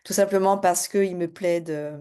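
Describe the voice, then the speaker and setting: A middle-aged woman from French Guiana who works as a spiritual and business coach, introducing herself to camera in a fast, casual way with many hesitations. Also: lowish voice, fairly even intonation, warm tone, clear, resonant tone.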